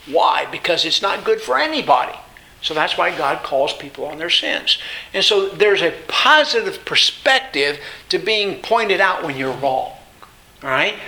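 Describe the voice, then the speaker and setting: A man's voice: high voice, bright, forceful tone, a little hiss or hum, rough recording. A man preaching an informal Bible lesson in a didactic, conversational way, posing questions and answering them, repeating phrases for emphasis. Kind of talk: informal expository sermon.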